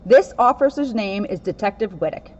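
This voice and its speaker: A woman's voice: medium pitch, expressive, moderate pace, a little mellow. A woman, a police officer, reading a children's picture book aloud in a dull lo-fi recording. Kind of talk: read-aloud children's story narration. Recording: dull, lo-fi sound.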